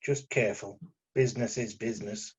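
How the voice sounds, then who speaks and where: A man playing a cautious, businesslike assassin in his late thirties at an amateur table read, clipped, deadpan and suspicious. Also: fairly low pitch, fairly quick, slightly rough. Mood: cool, businesslike. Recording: dull lo-fi voice recording.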